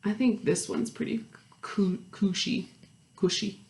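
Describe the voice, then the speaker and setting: A woman reading a picture book aloud to young children, expressive, with questions and asides to her listeners. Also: mid-range voice, unhurried, vocal fry. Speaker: children's storytime host and story reader. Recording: roomy, echoey indoor sound.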